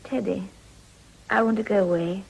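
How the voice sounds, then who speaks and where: Breathy, creaky young British wife. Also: female voice, mid-range voice, steady tone, normal speed, darkish tone, rough recording. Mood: remorseful. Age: young adult. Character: proud young British wife, idealistic, fragile, remorseful.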